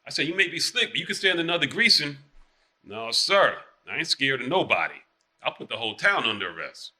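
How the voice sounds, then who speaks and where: A man's voice: medium pitch, big pitch swings, a little fast, bright, forceful tone. A man playing a boastful, evasive small-town marshal with a Southern US accent, telling rambling tales in theatrical dialect.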